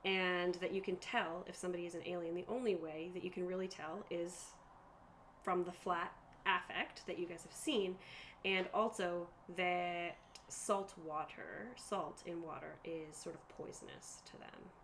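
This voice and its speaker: A woman's voice: fairly low pitch, fairly even intonation, measured pace, fairly bright tone. A woman running a tabletop roleplaying game, explaining rules and narrating in a conversational way. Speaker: game master. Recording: clearly noisy group room recording.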